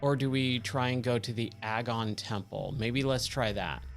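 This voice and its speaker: A man with a slightly hoarse, scratchy voice giving casual, rambling game commentary and thinking aloud as he plays. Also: medium pitch, steady tone, normal speed, faint music behind. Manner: rambling, self-questioning. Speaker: game streamer, host of a let's play.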